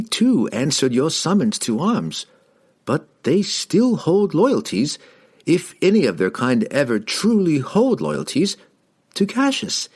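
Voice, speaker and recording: A man voicing a sly halfling in a fantasy audiobook, feigning meek obedience in measured, persuasive speech. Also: a little high, breathy edge, voice pushed up, full character voice.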